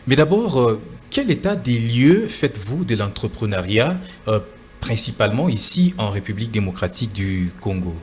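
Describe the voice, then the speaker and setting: A man's voice: lowish voice, big pitch swings, moderate pace, slight background noise. A man hosting a TV studio program, speaking formally with many hesitant fillers. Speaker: TV show host. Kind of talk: TV studio presenting.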